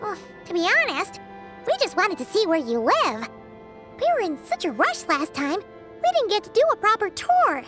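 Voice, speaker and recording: Tiny girl companion, very high squeaky voice, bouncy lively delivery, jumpy and chatty, game dialogue. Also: normal speed, faint music behind, full character voice. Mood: curious. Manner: excitable, easily spooked, animated.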